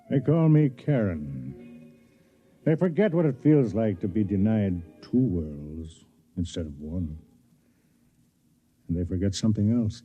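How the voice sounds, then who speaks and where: An actor playing an old, fat, world-weary space station official who narrates in a measured, deliberate way in a 1950s radio drama. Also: male voice, on the low side, phone-quality sound. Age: older. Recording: 1950s radio recording with music.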